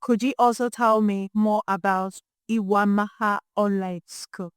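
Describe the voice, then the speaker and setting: A Nigerian woman presenting as a podcast host, introducing an interview in an expressive, emphatic way. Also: medium pitch, unhurried, clean tone, dry recording, clean studio sound. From Imo State, Nigeria.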